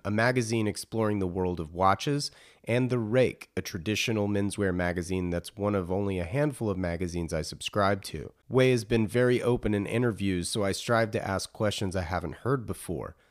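A man hosting a podcast, speaking as a presenter and interviewer. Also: on the low side. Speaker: podcast host. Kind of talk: scripted narration and interview.

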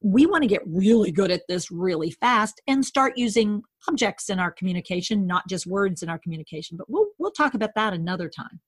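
Husky, animated woman teaching. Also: medium pitch, a little fast, hardly any pauses, dry recording, clean studio sound. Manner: animated, acts out both sides of a conversation. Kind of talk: casual teaching chat.

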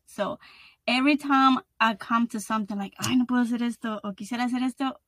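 A woman's voice: a little high, steady tone, fast talker, a bit bright, no echo. Spanglish-speaking woman in her thirties, dry sound. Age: 30s. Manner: switches between Spanish and English mid-sentence. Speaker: podcast host. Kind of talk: casual conversation.

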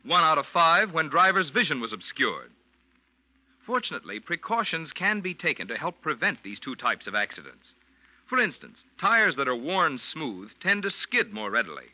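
Animated higher-pitched American radio announcer man. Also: moderate pace, no room sound. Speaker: announcer reading sponsor message. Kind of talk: 1940s radio commercial announcing. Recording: dull, dry vintage radio recording.

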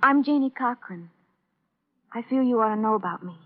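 Eager, high-voiced American schoolgirl. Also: expressive, a little fast. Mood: earnest. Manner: eager, naive, confiding. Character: earnest, starry-eyed high school girl from the suburbs. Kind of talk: 1950s radio drama acting. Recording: dull old radio recording.

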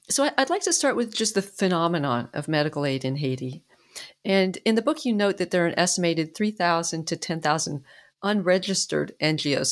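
Woman interviewer, lower register, casual and affirming. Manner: conversational, affirming. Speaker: interviewer host. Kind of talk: interview questions.

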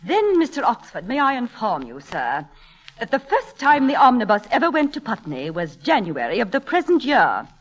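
A woman's voice: fairly high pitch, huge pitch swings, normal speed, lo-fi sound. An actress in an old-time radio drama playing a haughty, accusing titled lady, formal and pointed. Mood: coolly accusing.

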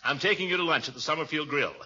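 A man's voice: fairly high pitch, fast talker, sharp tone, breathy edge, heavily acted. A man playing a blustery, lovestruck middle-aged suitor in a 1940s radio comedy, theatrical and wheedling. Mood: eager, courting. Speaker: radio comedy lead actor. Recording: noisy, dull vintage radio recording.

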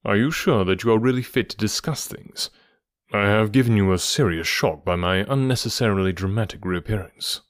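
A man voicing a calm, urbane Victorian gentleman detective with a nonchalant manner, in an audiobook story. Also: on the low side, brisk, dry recording, clean studio sound, natural voice. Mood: apologetic, concerned. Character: tall, keen, composed Victorian gentleman detective.

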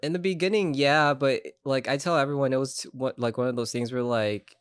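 A man from Ecuador, a podcast guest, chatting casually in a relaxed, rambling way with lots of fillers. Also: medium pitch, fairly quick, clean tone, no room sound, clean studio sound. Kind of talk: casual chat. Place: podcast studio.

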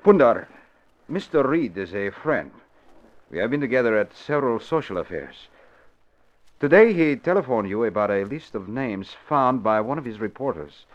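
Actor as an imperious, animated foreign consul. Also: male voice, medium pitch, moderate pace, lightly acted. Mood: stern. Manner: formal, stately, imperious. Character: dignified, indignant foreign consul demanding answers. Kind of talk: 1940s radio drama acting. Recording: dull vintage radio recording.

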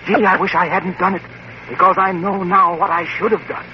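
A smooth-talking man spins a tale with slow dramatic pauses, his voice pitched a touch high. He is on an old 1940s radio show, heard through a muffled recording with a steady hiss. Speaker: comic announcer pitching a product.

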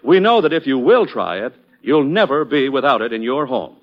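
A man with an American accent reading a scripted 1940s radio advertisement in a polished, persuasive, measured announcer's delivery. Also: medium pitch, very animated. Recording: muffled vintage radio recording.

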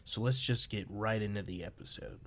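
A man's voice: lowish voice, fairly quick, fairly bright tone. A man, a little under the weather, hosting a podcast and reading ghost stories from a script. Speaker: podcast host.

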